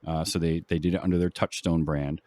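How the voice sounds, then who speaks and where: Deep voiced guy on a movie podcast, explaining stuff kinda haltingly, very dry sound. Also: level tone, a little mellow, dry recording. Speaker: film podcast host. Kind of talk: casual film discussion, recapping background.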